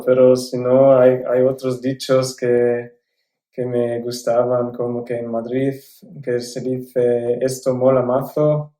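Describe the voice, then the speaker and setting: Slow, flat-voiced young man, native German. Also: mid-range voice. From South Tyrol, Italy. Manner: careful, measured learner's Spanish with restarts. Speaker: guest. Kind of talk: casual interview answers. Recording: echoey room.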